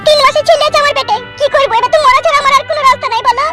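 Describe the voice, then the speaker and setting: Very high female cartoon character, plaintive, very fast, loud music. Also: fairly even intonation. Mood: tearful, pleading. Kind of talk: comedy cartoon dialogue.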